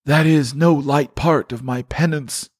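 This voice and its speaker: A man's voice: fairly high pitch, steady tone, no echo, studio quality, pitched above the performer's own voice. A man doing a wailing chained old ghost. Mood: solemn. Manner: declaiming, drawn-out lament. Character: anguished chained male ghost, old, mournful.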